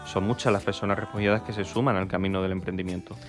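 A man co-hosting a radio program, presenting stories in a brisk, prepared way. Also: low voice, steady tone, soft background music. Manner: brisk, scripted-sounding presentation. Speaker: radio host. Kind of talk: radio magazine chat.

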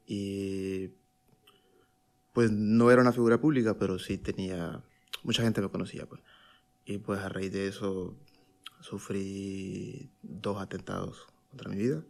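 Low, flat-voiced man in his thirties from Honduras. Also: vocal fry. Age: 30s. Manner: measured, halting with fillers. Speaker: interviewee giving testimony. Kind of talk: recorded personal testimony.

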